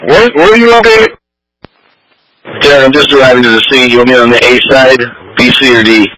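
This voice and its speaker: A man's voice: medium pitch, brisk, bright, forceful tone. A man working as a firefighter, giving terse operational messages over a two-way radio. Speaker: firefighter on fire department radio. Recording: noisy fire radio scanner feed.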